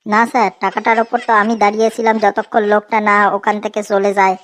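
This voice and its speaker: A woman's voice: medium pitch, level tone, quick pace, natural voice. A woman voicing a sly, impish cartoon schoolboy full of cheek. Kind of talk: comedy cartoon dialogue.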